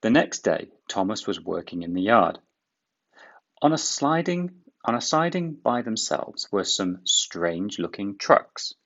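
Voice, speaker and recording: A man reads a children's storybook aloud at a slow pace, with lively, expressive intonation. His lowish voice has plenty of creak, and the recording is slightly dull.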